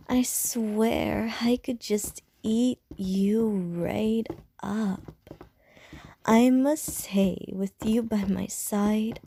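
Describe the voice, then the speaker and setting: A woman's voice: mid-range voice, creaky, warm tone, exaggerated voice. A woman voicing a flirtatious, predatory female vampire in an ASMR roleplay, teasing in sly, often whispered innuendo.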